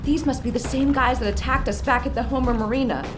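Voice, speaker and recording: A woman's voice: high voice, fast talker, over music, lightly acted. An actress playing an anxious, fiery, blunt woman aboard a submarine in an audio drama. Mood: frightened, pleading. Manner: blunt, emotional, exclamatory. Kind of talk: full-cast audio drama acting.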